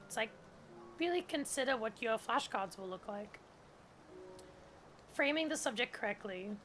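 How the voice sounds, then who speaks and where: German-native woman streamer, casual and rambling, reads prompts aloud, a bit high-pitched. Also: a bit bright. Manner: chatty, heavy use of "like", reads on-screen tutorial text aloud. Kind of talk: casual gameplay stream commentary.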